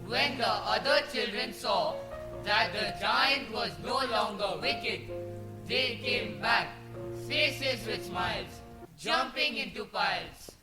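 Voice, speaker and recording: A man's voice: very high-pitched, takes it slowly. A male narrator telling a storybook tale in a theatrical style. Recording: roomy stage sound under loud music.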